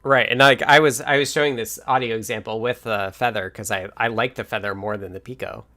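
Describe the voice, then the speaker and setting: Male livestream host, developer type, mid pitch, casual technical chat, thinks out loud. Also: steady tone, a little fast. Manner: informal, thinks aloud while answering viewer questions.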